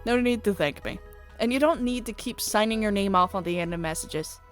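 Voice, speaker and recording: A woman's voice: medium pitch, brisk, close to an everyday voice. A woman voicing a prickly female idol superfan in a visual novel, blunt, indignant and sceptical. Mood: grudging, snippy. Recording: music playing behind the voice.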